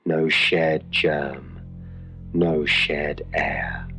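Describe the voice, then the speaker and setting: Very deep, slow ominous announcer. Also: male voice, steady tone, pushed and bright, heavily acted. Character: ominous male announcer intoning a spooky radio opening. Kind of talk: slow spoken radio intro. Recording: dull lo-fi radio sound over music. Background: background music.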